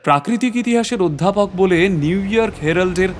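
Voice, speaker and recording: একজন পুরুষ অভিনেতা প্রাকৃতিক ইতিহাসের অধ্যাপকের চরিত্রে নাটকীয়, রহস্যময় ভঙ্গিতে নিজের অভিযানের গল্প বলছেন।. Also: male voice, high voice, a little fast, a little hiss or hum, close to an everyday voice. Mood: scholarly, intrigued. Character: male natural history professor recounting his adventure. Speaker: narrator. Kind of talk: dramatic scripted story narration.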